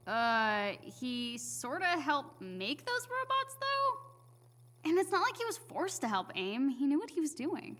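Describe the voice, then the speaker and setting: A woman's voice: high voice, huge pitch swings, a little slow, pushed and bright, exaggerated voice. A woman voicing a chatty, blunt teenage girl who is a rookie superhero in a video game, with a casual American accent. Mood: skeptical, blunt. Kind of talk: naturalistic video game voice acting.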